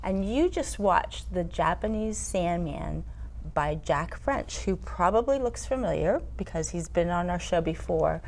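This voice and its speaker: A woman's voice: fairly low pitch, lively intonation, measured pace. A woman hosting a TV talk show, interviewing in an informal, hesitant way. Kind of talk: casual interview.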